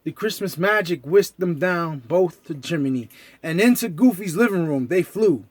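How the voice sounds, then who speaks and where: A man narrating a children's story as an expressive storyteller. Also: high voice, normal speed, no room sound.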